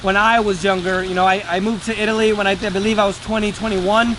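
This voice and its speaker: A man's voice: sits high, level tone, quick pace, noisy background. A man giving a casual, earnest motivational talk to camera, repeating words for emphasis. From Venezuela. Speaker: day trader and trading teacher.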